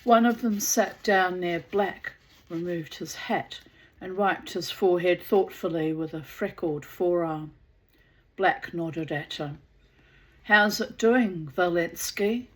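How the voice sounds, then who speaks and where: A woman with a New Zealand accent reading a novel aloud, deliberate and unhurried, with occasional asides. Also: fairly low pitch, steady tone, low-quality recording. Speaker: audiobook reader.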